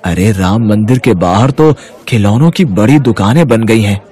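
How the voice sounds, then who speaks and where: A man's voice: lowish voice, animated, normal speed, a little mellow, close to an everyday voice. A man playing a worried, humble, poor village toy-seller down on his luck in a moral-story cartoon. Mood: uneasy.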